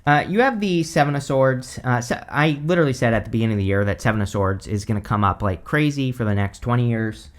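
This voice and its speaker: Hoarse man, tarot reader, casual rambling talk, mid-range voice. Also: medium pitch, expressive, fast talker, hardly any pauses. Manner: rambling, full of "like", "you know" and "right?". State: hoarse, losing his voice. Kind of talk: casual tarot reading to camera.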